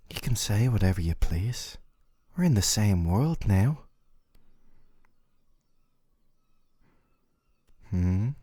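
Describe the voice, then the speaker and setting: Man acting a gentle, obsessive lover, low and mellow. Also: dry recording, clean studio sound. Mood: smitten, teasing. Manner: tender, coaxing, clingy. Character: gentle, obsessive, possessive male dating-sim love interest. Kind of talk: intimate ASMR roleplay.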